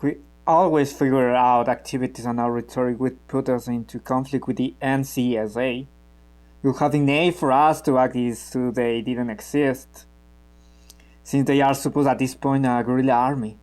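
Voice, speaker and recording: A man's middle-pitched voice. An actor plays a cynical member of an armed movement in a radio play, natural in delivery, measured and careful with what he gives away. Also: steady tone, darkish tone, natural voice. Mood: guarded, wry. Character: guarded, cynical male member of an armed revolutionary group.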